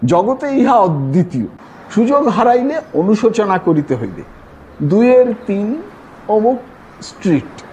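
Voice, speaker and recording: A man narrates a classic tale dramatically. His pitch moves in lively swings, and the tone stays soft and mellow. Faint ambient noise sits behind him. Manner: expressive, theatrical storytelling. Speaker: story narrator. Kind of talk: dramatic audio-story narration of classic fiction.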